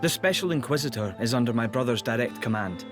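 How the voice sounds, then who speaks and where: A dignified young emperor in a video game drama, acted by a man. His medium-pitched voice is formal, measured and earnest. Music plays clearly behind him. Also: lively intonation, lightly acted. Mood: resolute. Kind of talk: video game cutscene acting.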